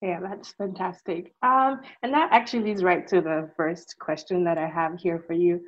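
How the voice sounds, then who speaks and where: A young woman hosting an interview, encouraging and quick with affirmations as she asks her questions. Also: lowish voice, fairly even intonation, dull audio. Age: young adult.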